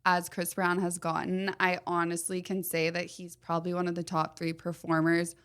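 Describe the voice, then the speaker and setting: A young woman with a slightly raspy voice hosting a casual podcast in a laid-back way. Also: lowish voice, monotone, moderate pace, fairly bright tone. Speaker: podcast host. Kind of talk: casual chat.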